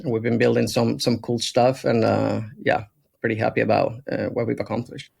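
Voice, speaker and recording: Male guest, gravelly, measured and hesitant delivery. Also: mid-range voice, flat delivery, a little mellow. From Argentina. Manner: thoughtful, halting with frequent fillers. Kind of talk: casual conversational interview.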